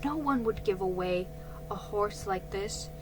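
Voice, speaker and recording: Woman reading as a calm, firm father. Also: medium pitch, unhurried, natural voice, low-quality recording. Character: calm, firm father, middle-aged man. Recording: faint music behind.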